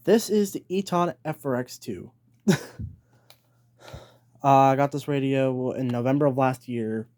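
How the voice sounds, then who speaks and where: A man's voice: mid-range voice, a little slow, long pauses, a little mellow. A man chatting casually as he hosts a hobby video, informal and hesitant, thinking aloud. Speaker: hobbyist video host showing a radio collection. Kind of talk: casual vlog chat.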